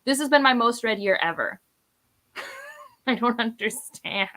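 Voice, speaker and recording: Woman, bright and forward voice, casual book-vlog co-host, talkative and self-deprecating. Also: a little high, expressive, unhurried. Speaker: book reviewer, read-along co-host. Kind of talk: casual chat.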